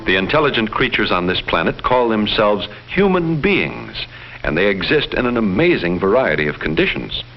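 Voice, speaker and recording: An actor playing a detached alien explorer, reporting on human life in formal, measured 1950s educational film narration. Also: male voice, on the low side, big pitch swings. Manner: formal, measured, clinical phrasing. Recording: muffled vintage film sound, music behind. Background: loud music.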